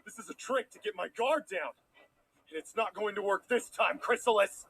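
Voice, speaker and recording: High, husky, paranoid pony prince played by a man. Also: moderate pace, a bit bright, dry recording, exaggerated voice, low-quality recording. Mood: defiant. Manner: overwrought, stammering. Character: distraught, paranoid pony prince, suspicious and defiant. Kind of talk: fan-made cartoon audio drama acting.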